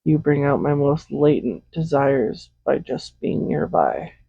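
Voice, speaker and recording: A man playing a smug, possessive villain who dotes on a captive hero in an intimate audio roleplay, soft-spoken, unhurried and condescending. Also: medium pitch, big pitch swings, creaky, breathy edge, dry recording, dull audio, full character voice. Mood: possessive, longing. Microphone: close USB condenser microphone.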